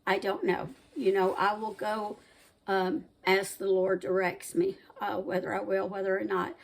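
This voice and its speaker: A woman's voice: mid-range voice, flat delivery, unhurried, gravelly, rough recording. A woman in her sixties from the American South, with a Southern accent mixed with Midwestern, hosting a home cooking channel and rambling chattily between side stories. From Southern United States. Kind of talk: casual chatty cooking vlog talk.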